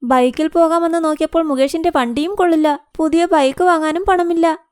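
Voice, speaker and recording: A woman's voice: very high-pitched, fairly even intonation, brisk, a little mellow, no echo, clean studio sound, pitched above the performer's own voice. A woman voicing a self-pitying, wheedling young married daughter in a cartoon moral story. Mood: plaintive, wheedling.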